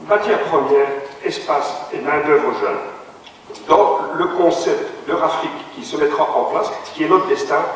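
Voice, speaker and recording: A man delivering a formal, declamatory address to a conference in a parliament hall. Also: fairly high pitch. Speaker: conference speaker. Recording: reverberant hall, distant mic, faint room noise.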